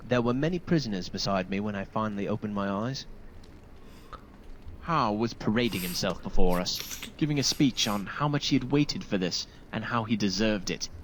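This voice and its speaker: A man's voice: fairly low pitch, moderate pace, slight background noise, lightly acted, rough recording. An actor playing a grieving male soldier in a video game, speaking in a solemn, formal, deferential way. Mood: grim, haunted. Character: wounded, grieving male soldier recounting a massacre. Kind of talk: dramatic video game dialogue.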